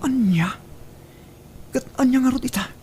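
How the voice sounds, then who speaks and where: A woman's voice: high voice, moderate pace, mellow, slight background noise, natural voice. A woman acting an evasive, jealous lover in an audio drama, with a Filipino accent. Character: Boni, evasive, jealous lover short of money. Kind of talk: Ilocano radio drama acting.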